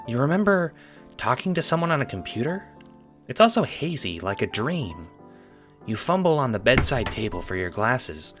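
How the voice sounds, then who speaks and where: A man's voice: mid-range voice, a bit bright. A man reading scripted second-person narration for an audio drama.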